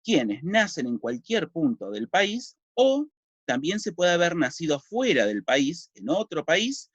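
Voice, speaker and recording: A man's voice: a little high, very animated, unhurried, slightly rough, no room sound. A Uruguayan man teaching a recorded civics lesson, explaining step by step and asking and answering his own questions. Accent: Uruguayan Spanish. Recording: dull lo-fi dry recording.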